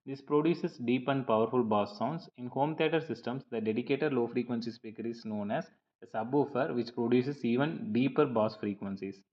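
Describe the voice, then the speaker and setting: A dull, lo-fi recording carries a man's mid-pitched voice. He reads a prepared lecture as a teacher would, explaining point by point in a measured, slightly halting manner. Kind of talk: scripted lecture narration over slides.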